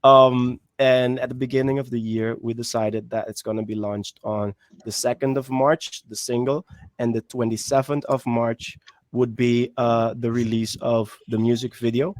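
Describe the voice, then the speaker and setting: A man in his thirties gives a measured, earnest answer as a guest on a video call. His delivery stays flat and even. The sound is dry and close. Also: mid-range voice, dry recording. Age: 30s. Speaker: musician guest.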